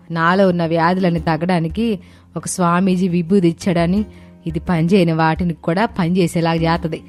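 A woman's voice: fairly low pitch, darkish tone, natural voice. A woman voicing a caring, devout elderly mother-in-law in a storybook tale, with assured, folksy village wording. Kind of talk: dramatised storybook dialogue.

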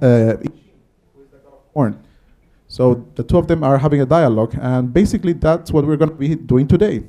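A man in his thirties with a Guatemalan Spanish accent speaks as a conference presenter. His voice is very dark and soft-edged, at an ordinary male pitch. He lectures conversationally, pausing often, into a handheld microphone in an auditorium. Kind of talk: public lecture.

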